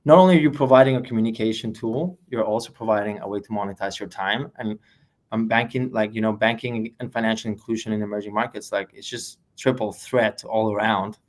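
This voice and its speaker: A man's voice: fairly low pitch, fairly quick. Upbeat male podcast host. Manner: upbeat, praising, with fillers "you know". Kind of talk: interview hosting. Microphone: remote call.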